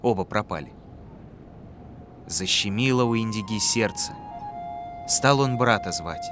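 A man narrating a folk tale in a calm, rhythmic storytelling voice. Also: lowish voice, measured pace. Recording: clear music behind.

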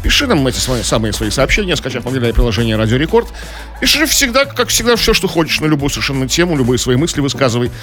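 A man's voice: mid-range voice, big pitch swings, fast, fairly bright tone. A man co-hosting a radio show with casual comic banter, playful and offhand in delivery. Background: music.

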